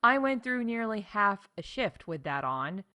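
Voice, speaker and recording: A woman's voice: medium pitch, very animated, unhurried, pushed and bright. A woman playing a chatty, wry theme park staff announcer in a scripted comedy podcast, emphatic and full of conversational asides. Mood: mock indignant.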